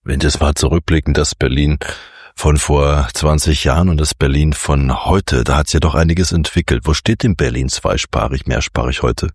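A man's voice: rumbling low, normal speed, husky, no echo, clean studio sound. A man hosting a radio show, presenting from a script and putting questions to a guest. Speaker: radio show host and interviewer.

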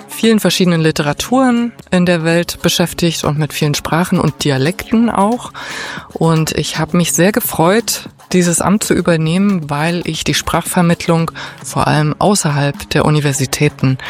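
A middle-aged woman from Berlin, head of a cultural office, answering interview questions in a thoughtful, measured way. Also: sits low.

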